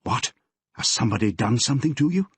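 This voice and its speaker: Audiobook figure: male narrator doing a stern copper dad, measured, husky, lively pitch. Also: dry recording. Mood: concerned, firm. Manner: firm, measured, controlled. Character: strict, stern middle-aged police detective father.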